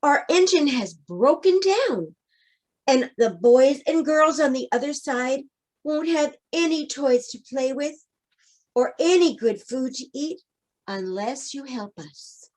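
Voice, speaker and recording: A woman's voice: high voice, lively intonation, slow, a little mellow. A woman voicing a funny little toy clown in a children's storybook, eager and pleading.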